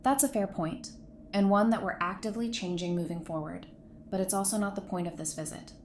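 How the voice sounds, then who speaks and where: A medium-pitched woman's voice in an acted part as a no-nonsense survivor doctor in an audio drama. She is guarded, dry and firm, with faint noise behind her. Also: a little fast, darkish tone, lightly acted. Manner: firm, dry, matter-of-fact.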